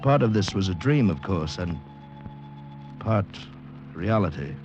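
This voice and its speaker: An actor playing a bewildered amnesiac American man in a halting, dramatic 1940s radio style. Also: deep voice, very animated, over music, exaggerated voice. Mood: dazed, reflective. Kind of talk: 1940s radio acting, first-person narration and dialogue. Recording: noisy old radio drama recording.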